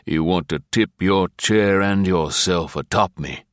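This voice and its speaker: Man voicing a very deep, slow, menacing old farmer. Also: dry recording, studio quality. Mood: wary, knowing. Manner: slow, measured rustic drawl. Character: menacing old farmer, slow, calm, grimly murderous grandfather. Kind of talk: audiobook character acting.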